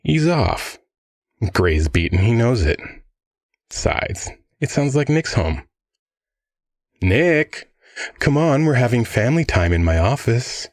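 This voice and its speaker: A gravelly crime-boss father, played by a man, speaks close and dry. He dotes breezily on his family and threatens just as casually, in the manner of an ASMR audio drama. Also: mid-range voice, sing-song, slow, long pauses, dry recording. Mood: doting, breezy. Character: rich, affable, menacing crime-boss single dad of vampires.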